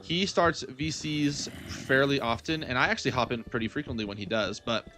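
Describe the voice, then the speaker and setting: A man's voice: medium pitch, normal speed. A young man chatting casually as he hosts a gaming livestream, rambling and thinking aloud as he answers his viewers. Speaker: livestream gamer host.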